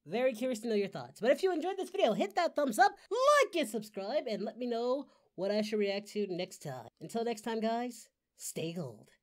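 A performer voicing an excitable male cartoon martial-arts hero who reacts to videos in a theatrical, exclamatory way. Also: mid-range voice, normal speed, few pauses, lightly acted. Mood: fond, upbeat. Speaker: host of a reaction channel. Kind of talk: reaction commentary, impression of an anime character.

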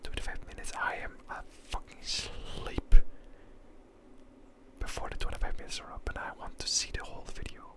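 A young man, an ASMR creator, rambling and crude in a whisper at home. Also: moderate pace.

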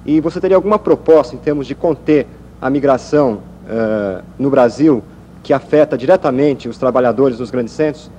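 Man, interviewer, measured, mellow voice, long echo, faint hum. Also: mid-range voice. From Brazil. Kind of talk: reading a viewer's question.

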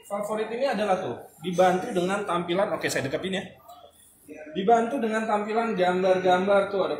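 A young man giving a casual, animated product demo in a small kitchen showroom, filmed on a phone camera a few steps away. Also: high-pitched, slight background noise. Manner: chatty, animated, gestures while explaining. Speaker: product reviewer. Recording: echoey room with loud music.

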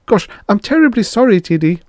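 A man voicing a nervous, eager male assistant in a spoken story, pitched well above his own voice. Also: big pitch swings, a little fast, a little mellow, dry recording, clean studio sound. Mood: alarmed.

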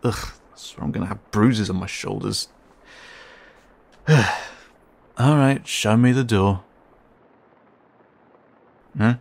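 A man's voice: on the low side, gravelly, heavily acted. A man playing a flustered, cheeky raccoon boy. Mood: grumbling. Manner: flustered, muttering, sarcastic, halting. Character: cheeky, skittish young raccoon boy, grumbling intruder. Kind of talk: intimate audio roleplay.

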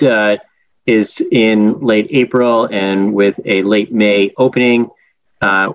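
A man with a fairly low voice gives a project update over a video call, pausing often with fillers and restarting his sentences. The audio is dull and lo-fi, with a dry, close sound. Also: no room sound. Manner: halting, many fillers and restarts. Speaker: project architect presenting to a school board.

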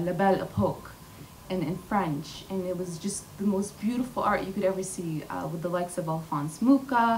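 A woman's voice: mid-range voice, a little hiss or hum. A young woman giving an earnest personal talk from a podium, with frequent fillers and restarts. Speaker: featured artist speaker. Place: large indoor hall with glass wall. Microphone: podium mic. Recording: distant room mic, faint hall ambience.

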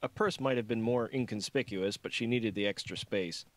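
Male audiobook narrator, fairly low pitch, scripted fiction read in a dry recording. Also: moderate pace, dry recording.

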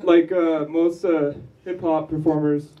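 A man doing rambling, self-mocking stage banter between songs, laughing through his lines, on a handheld mic in a small dark bar. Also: on the high side, little pitch movement, a little slow, highs cut off, crowd noise. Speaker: live musician between songs. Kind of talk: joking stage banter and singing. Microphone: handheld vocal mic through PA, filmed from the room. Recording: distant camera in a loud bar.

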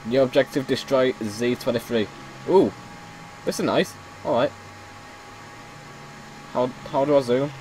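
Hoarse man muttering through a game. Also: medium pitch, measured pace, pauses often, a little mellow, a little hiss or hum, low-quality recording. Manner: chatty, mutters reactions while playing. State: slightly hoarse, losing his voice. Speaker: gameplay commentator. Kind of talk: casual let's-play commentary.

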